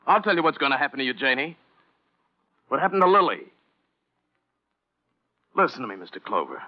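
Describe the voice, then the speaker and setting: An actor playing an intense, goading, obsessive murderer in an old-time radio crime drama. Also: male voice, mid-range voice, sing-song, a little fast, natural voice. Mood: menacing, goading. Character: menacing, unhinged murderer confessing his crime. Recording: muffled vintage radio recording.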